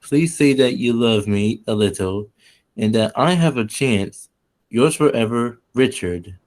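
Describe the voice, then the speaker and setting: A man's voice: medium pitch, lively intonation, measured pace, a little mellow, natural voice. A man acting an ardent young suitor reading out his love letters in a 1940s style radio play, earnest and pleading. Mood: pleading, devoted.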